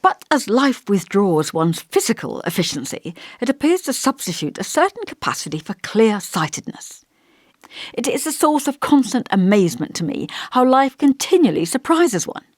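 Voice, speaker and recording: An elderly village vicar from an audiobook, acted out by a woman, kindly and long-winded. The speech is genteel and old-fashioned, a little pompous, and musing. The pitch moves around freely, and the sound is dry. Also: big pitch swings, moderate pace, dry recording. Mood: musing, gentle. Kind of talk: audiobook character dialogue.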